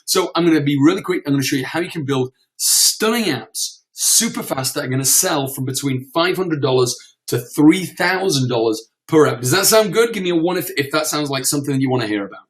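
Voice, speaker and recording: A man presenting a live webinar, croaky from a cold, chatty with lots of fillers and repeated words. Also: a little high, animated, a little fast.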